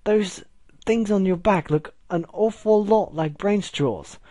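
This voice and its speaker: Man putting on a shrill, prim British mare. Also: slow, mellow, no echo. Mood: alarmed. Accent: attempted British. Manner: fussy, shrill, indignant. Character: prim, anxious female pony with British airs.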